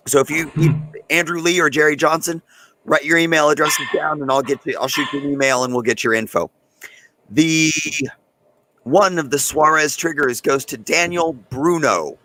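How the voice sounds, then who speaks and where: Hoarse man hosting a livestream. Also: on the high side, sharp tone, slight background noise, rough recording. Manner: loose and chatty, talking straight to viewers in the chat. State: hoarse, losing his voice. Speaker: host of a live gun channel stream. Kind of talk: casual livestream chat.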